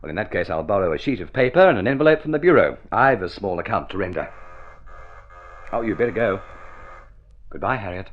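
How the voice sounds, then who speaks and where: An actor in a mid-century radio drama playing a kindly, self-deprecating young gentleman who speaks hesitantly and stammers. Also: on the low side, lively intonation, brisk, pauses often. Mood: wistful, resigned. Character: awkward, lovesick, kindly rich young gentleman. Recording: muffled vintage radio recording.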